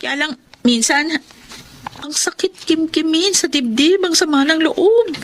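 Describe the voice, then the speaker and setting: Gina, plaintive, long-suffering young wife. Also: female voice, high voice, lively intonation, unhurried, a little hiss or hum. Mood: downhearted. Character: Gina, weary, exasperated young wife struggling with poverty. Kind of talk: Filipino radio drama acting and first-person narration.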